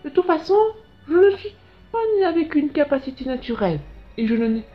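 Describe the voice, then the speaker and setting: A woman's voice: sits high, expressive, brisk. A woman voicing an earnest, curious scientist in a comic dub, explaining things in a reflective way. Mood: wary, skeptical. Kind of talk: dubbed comic narration and dialogue. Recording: dull lo-fi sound with music behind.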